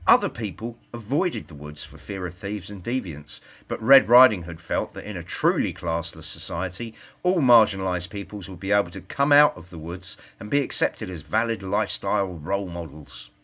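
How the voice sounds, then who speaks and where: A man reading a scripted satirical fairytale as storyteller, in an expressive storybook manner. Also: low-pitched, moderate pace, hardly any pauses.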